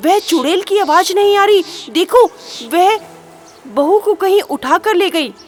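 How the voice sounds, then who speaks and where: Woman acting an anxious, high-voiced old village father. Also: a little slow, soft background music. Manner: anxious, calling out. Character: worried elderly village father searching for his daughter-in-law.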